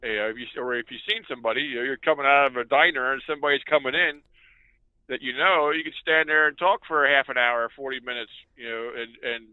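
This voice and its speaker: A man's voice: medium pitch, fairly even intonation, fast, clear, resonant tone, no echo. A middle-aged or older man, a veteran trucker, chatting as a guest in a folksy, anecdotal way. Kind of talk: casual anecdotal chat. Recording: thin, phone-like narrow audio.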